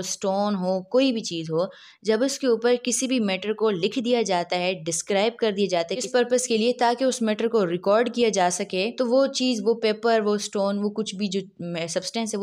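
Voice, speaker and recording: A woman giving a recorded law lecture, asking questions and answering them herself, reading legal text slowly before explaining it. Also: mid-range voice, darkish tone. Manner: asks and answers her own questions.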